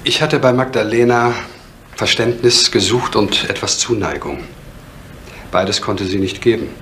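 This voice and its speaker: An actor playing a reserved, bitter divorced father in a vintage German crime drama, speaking in a measured way with deliberate pauses. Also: on the low side, a bit bright. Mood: firm, restrained. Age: middle-aged. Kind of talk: 1970s German television crime drama acting. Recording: faintly noisy vintage drama soundtrack.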